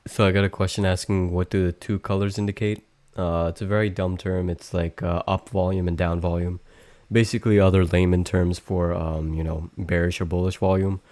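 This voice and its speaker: A young man with a low voice talks through charts on a live stream. His delivery is monotone and halting, full of restarts and fillers, in a casual lesson style. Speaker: trading stream host.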